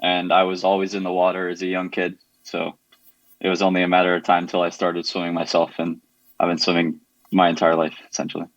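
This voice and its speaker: A young man with an American accent, an Olympic swimmer giving relaxed, matter-of-fact interview answers. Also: deep voice, a little fast, no echo, muffled recording. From Jamaica, raised in the United States.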